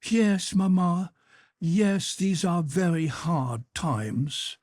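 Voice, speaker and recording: A man's voice: sits high, expressive, extremely slow, darkish tone, no room sound, studio quality, voice pushed up, exaggerated voice. A man doing a wheedling, fawning young officer. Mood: ingratiating. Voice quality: wheedling, polite. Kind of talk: audiobook character voice.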